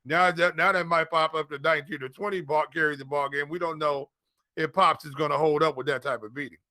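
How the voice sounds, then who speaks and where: Bright-voiced man talking sports. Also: a little high, fairly even intonation, a little fast, no room sound. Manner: colloquial, emphatic, repeats phrases. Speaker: fantasy football podcast co-host and analyst. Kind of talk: casual sports talk.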